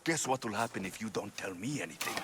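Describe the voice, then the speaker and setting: An actor playing a hard-edged mercenary who questions people bluntly and firmly. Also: male voice, fairly low pitch, animated, quick pace, noisy background, lightly acted. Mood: stern. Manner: firm, interrogating, blunt. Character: hard-edged young male Greek mercenary, commanding. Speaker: player character, mercenary. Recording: game score underneath.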